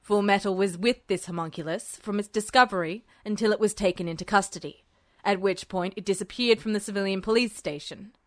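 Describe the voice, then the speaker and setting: Woman putting on a gruff gravelly officer, low-pitched, menacing, commanding, dry close sound. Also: brisk, no echo, lightly acted. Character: gruff gravelly male major general, menacing. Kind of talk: podfic reading of fan fiction.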